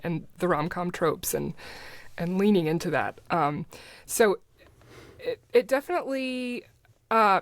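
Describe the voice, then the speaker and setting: A middle-aged woman speaking as a podcast guest in a casual, halting, informal and rambling way. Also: medium pitch, no echo. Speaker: podcast guest, audio drama creator. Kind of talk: casual interview chat.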